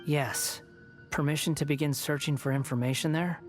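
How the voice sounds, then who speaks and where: A man's voice: fairly high pitch, steady tone, normal speed, faint music behind, natural voice. An actor playing a smug, sardonic young man in a video game, speaking in a cool, snide and condescending manner. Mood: cool, commanding. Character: smug, sardonic young male prisoner on temporary release.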